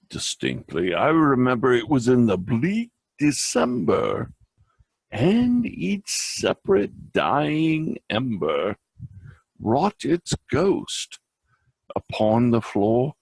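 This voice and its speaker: A man giving a slow, theatrical poetry recitation in a gravelly, husky voice, with deliberate pauses. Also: mid-range voice, a little mellow, dry recording. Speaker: reader and presenter.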